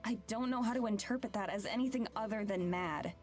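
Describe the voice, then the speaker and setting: A woman's voice: mid-range voice, brisk, a little mellow, soft background music, natural voice. An actress playing a hurt, earnest young woman in a visual novel, pleading and confrontational. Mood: hurt, frustrated.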